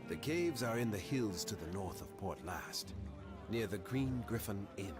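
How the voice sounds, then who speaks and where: A grave fantasy game mentor with a deep voice. He speaks formally and in measured, old-world phrases. Music runs clearly underneath his words. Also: sing-song. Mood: measured, advising. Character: grave, formal man directing a hunt for cultists. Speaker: quest-giving game character. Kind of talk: scripted fantasy game dialogue.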